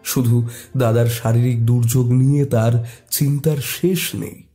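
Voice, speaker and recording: A man's voice: medium pitch, mellow. A man narrating a scripted horror story in a dramatic storytelling style.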